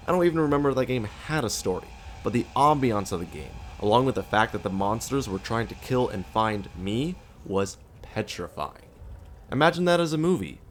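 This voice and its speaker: A man's voice: lowish voice, slight background noise. Sarcastic man narrating a video essay. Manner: sarcastic, rhetorical questions answered by himself. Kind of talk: scripted narration in a casual, conversational video essay.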